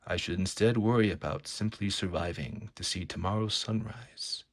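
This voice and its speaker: A man voicing a calm, formal, gloating supervillain in a comic book read-aloud. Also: low-pitched, moderate pace, no echo, clean studio sound. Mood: menacing, gloating.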